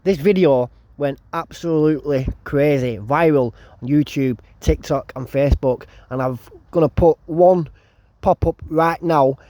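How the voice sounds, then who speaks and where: A man hosts the channel and talks over the clips with eager commentary. His voice is mellow and slightly high, recorded close and completely dry. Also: a little slow, dry recording. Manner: enthusiastic, hyping up. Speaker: channel host.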